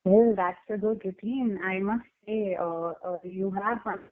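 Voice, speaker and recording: Halting woman doctor on a phone line. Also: mid-range voice. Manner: halting, many fillers and restarts. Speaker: doctor candidate in a role-play. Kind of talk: practice exam consultation.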